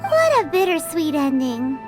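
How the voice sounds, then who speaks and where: A woman voicing a tiny, excitable, childlike companion girl in a video game, with a squeaky, perky, exclamatory delivery. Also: unhurried, over music. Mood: cheerful, cocky. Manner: perky, exclamatory, refers to self by name.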